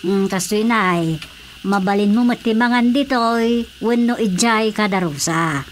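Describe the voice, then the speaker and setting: A woman's voice: medium pitch, a little slow, close to an everyday voice. A woman playing a stern, admonishing aunt in an Ilocano radio comedy drama, with an Ilocano accent and a matter-of-fact manner. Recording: faint background noise.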